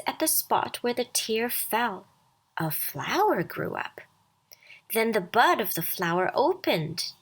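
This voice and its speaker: Woman storyteller, children's bedtime narration, expressive, very slow pace, lively intonation, breathy husky edge. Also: fairly high pitch. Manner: expressive, deliberate read-aloud delivery.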